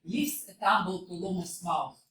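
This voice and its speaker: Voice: mid-range voice, moderate pace, pushed and bright. A narrator reading the stage directions aloud in a plain, matter-of-fact way. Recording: echoey hall from far off.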